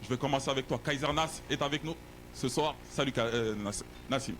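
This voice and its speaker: A young man hosting a casual studio chat show, joking and teasing with a halting delivery. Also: mid-range voice, fairly bright tone, slight background noise. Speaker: host.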